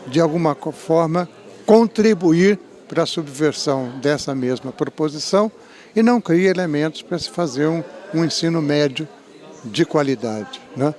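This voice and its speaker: A middle-aged man with a Brazilian Portuguese accent, an education professor giving an interview, speaking in measured academic phrases with frequent restarts and "né?" tags. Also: on the high side, darkish tone. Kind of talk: interview, spoken analysis.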